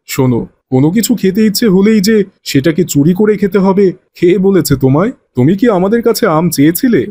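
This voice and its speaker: Righteous village father, acted by a man, fairly high. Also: dark tone, a little hiss or hum. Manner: admonishing, measured lecturing. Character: righteous, stern but forgiving village father.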